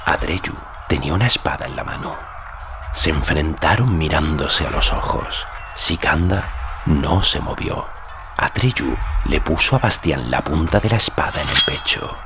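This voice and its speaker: A man's voice: rumbling low, expressive, a little slow, creaky. A man reading as an audiobook narrator, giving dramatic scripted narration of a fantasy story. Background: music.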